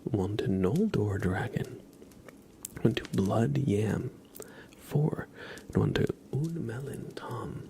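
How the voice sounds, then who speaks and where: A man hosting an ASMR livestream, chatting softly to viewers in an unhurried, soothing way with halting phrasing. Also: lowish voice, expressive, gravelly, a little hiss or hum. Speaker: livestream host.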